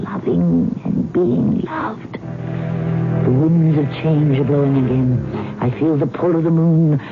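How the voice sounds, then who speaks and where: Creepy sweet old cat lady, slow talker, old radio drama, dull lofi sound. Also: low voice. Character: old cat-loving woman, outwardly kindly, secretly sinister, yearning. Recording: muffled, lo-fi radio drama recording.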